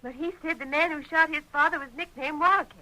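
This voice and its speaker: An actress playing an earnest young frontier daughter with an American accent in a 1930s radio western melodrama. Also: female voice, fast, pushed and bright. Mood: fearful, reluctant. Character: anxious, spirited young frontier daughter in love. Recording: noisy, shrill 1930s radio recording.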